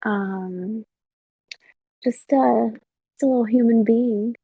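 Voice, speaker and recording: Gravelly-voiced woman guest, giggly. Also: fairly high pitch, slow, warm tone, no echo, low-quality recording. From Colombia. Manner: giggly, thoughtful, hesitant. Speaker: guest, content creator. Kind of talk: soft-spoken interview answers.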